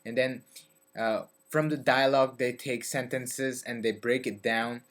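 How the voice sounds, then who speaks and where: A man's voice: mid-range voice, steady tone, a little slow, a bit bright. A young man from Belgium, talking to his webcam in a dim bedroom, hesitant with many fillers. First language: French. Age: young adult. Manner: hesitant, many fillers and restarts, gestures with hands. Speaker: vlogger. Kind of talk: casual talk to camera about learning languages. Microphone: webcam at desk.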